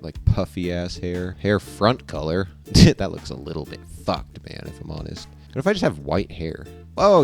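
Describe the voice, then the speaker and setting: A young man giving casual, irreverent, profane game commentary and reading the on-screen text aloud in a slangy way. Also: a bass voice. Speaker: let's player.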